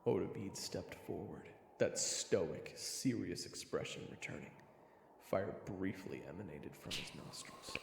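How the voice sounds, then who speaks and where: A man's voice: sits low, lively intonation, a little slow, creaky, echoey room, long reverb tail, a little hiss or hum, heavily acted. A man playing a nervous, sardonic young adventurer who narrates his own fantasy story with dramatic, wry delivery. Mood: tense. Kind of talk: first-person fantasy story narration and acting.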